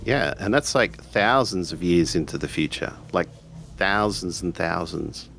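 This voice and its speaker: A man's voice: deep voice, measured pace, vocal fry, fairly bright tone, full character voice. A man playing a defiant, protective boy in a tabletop roleplay, speaking with stubborn indignation. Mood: sceptical. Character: defiant antisocial boy protective of his friends. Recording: faint background noise.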